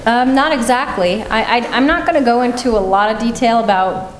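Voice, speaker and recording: A woman serving as a town's legal counsel, speaking carefully and with measured restarts at a public board meeting. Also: fairly high pitch, crowd noise. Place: town meeting hall. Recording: echoey hall, faint noise.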